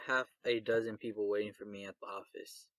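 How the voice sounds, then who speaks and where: A man playing a gruff, impatient, bickering father in a radio drama. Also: lowish voice, little pitch movement, fairly quick, a bit bright, no echo, natural voice. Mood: awkward, evasive.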